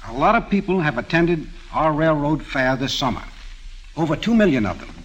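Casting: American male guest speaker, 1940s radio, raspy and a touch high, stately measured delivery. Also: poor audio. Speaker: guest observer on a quiz program. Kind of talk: formal prepared remarks on 1940s radio.

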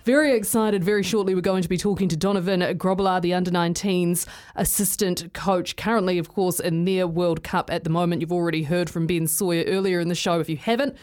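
A woman presents a sports radio show in a brisk, upbeat way, moving through sponsor reads and guest introductions. Her voice sits slightly low for a woman. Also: fairly even intonation, a little mellow. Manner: brisk, upbeat, reading promos.

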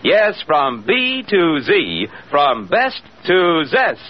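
A man's voice: fairly high pitch, huge pitch swings, drawn-out words. An American man announcing a 1950s radio commercial with an emphatic, polished sales delivery. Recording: muffled, faintly noisy old radio broadcast.

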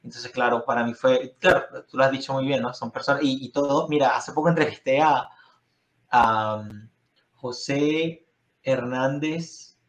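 A man's voice: medium pitch, roomy sound. A Venezuelan man hosting a podcast, chatting casually with a guest and rambling through restarts and fillers. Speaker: podcast host. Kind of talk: casual interview chat. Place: Florida.

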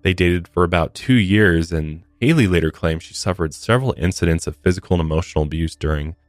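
A man's voice: sits low, brisk, dry recording. A man co-hosting a true crime podcast, recounting case details in a casual, conversational way with blunt asides.

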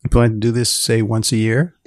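A man hosting a podcast interview, asking short questions and prompts in a deliberate, deadpan way, often repeating phrases. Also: lowish voice, no room sound, studio quality.

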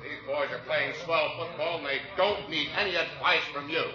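An actor playing a surly, curt football fan who heckles in a 1940s radio comedy. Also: male voice, on the high side, fairly even intonation, moderate pace, lightly acted. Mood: hostile. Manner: curt, needling. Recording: echoey muffled old radio recording.

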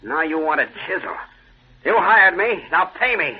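A man's voice: sits high, big pitch swings, moderate pace. A man playing an angry, greedy hired arsonist, snarling slangy threats in 1940s radio drama style. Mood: furious. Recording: tinny, hissy old radio recording.

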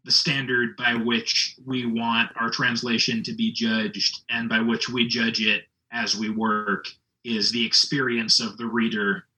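Bright, flat-voiced articulate man. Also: medium pitch, rough recording. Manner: articulate, measured explanations. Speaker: literary translator. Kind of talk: conversational interview answers.

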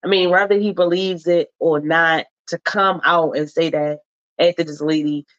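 A woman's voice: deep voice, steady tone, moderate pace, fairly bright tone. Woman hosting a live show, emphatic and slangy, kinda stuffed up, muffled lo-fi sound. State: a little stuffed up. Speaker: host. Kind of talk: casual live-show chat and commentary.

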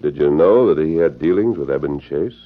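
An actor playing a calm, commanding masked hero in an old radio western, measured and authoritative. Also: male voice, a bass voice. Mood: calm, probing. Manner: measured, authoritative, probing questions. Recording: dull old radio recording.

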